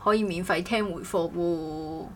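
A woman co-hosting a casual podcast, telling stories in an animated, rambling way. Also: lowish voice, unhurried. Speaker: podcast co-host. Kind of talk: casual chat.

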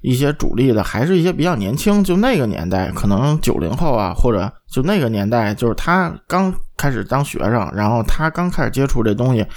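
A man's voice: mid-range voice, very animated, fairly quick, hardly any pauses. A man chatting casually and giving opinions as a podcast co-host, emphatic and given to repeating himself.

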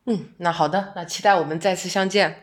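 A friendly young working woman as a woman plays her in an audio drama, with a slightly low-set voice. She speaks naturally and conversationally, a reflective fan of the theatre. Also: fairly even intonation, a little fast, lightly acted.

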